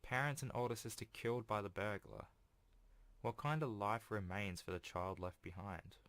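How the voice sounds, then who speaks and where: A man's voice: lowish voice, moderate pace, fairly bright tone, dry recording. A man hosting a video game playthrough, reading a visual novel aloud with casual, self-deprecating asides. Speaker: let's play host.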